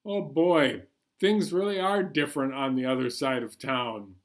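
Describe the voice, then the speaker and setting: A man reading a picture book aloud as a chatty, bemused New York City taxi driver, colloquial and animated. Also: medium pitch, measured pace, lightly acted. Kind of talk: first-person picture book read-aloud.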